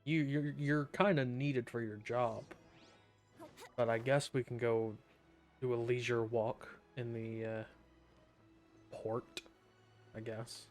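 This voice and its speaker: A woman streamer giving casual, offhand game commentary in a halting, amused way. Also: a bass voice, fairly even intonation, a little mellow, faint music behind.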